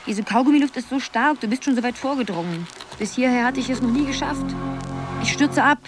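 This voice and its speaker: A woman's voice: high voice, brisk, soft background music, natural voice. An actress playing a dreamy young woman absorbed in a computer game, musing half to herself in a radio drama. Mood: frustrated.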